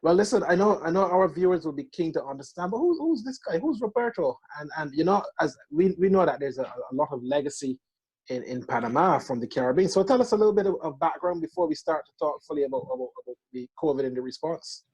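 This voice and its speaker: Co-host, male, husky, halting delivery on a video call. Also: on the high side, expressive, darkish tone, dull audio. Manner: hesitant, repeats words. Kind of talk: casual video chat.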